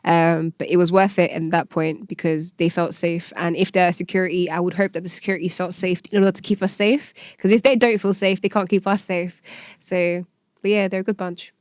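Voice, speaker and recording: A woman's voice: lowish voice, steady tone, a little fast, a little mellow, dry recording, clean studio sound. A woman from Nigeria with a Nigerian accent, telling personal stories candidly and conversationally as a podcast guest. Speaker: podcast guest, event organiser.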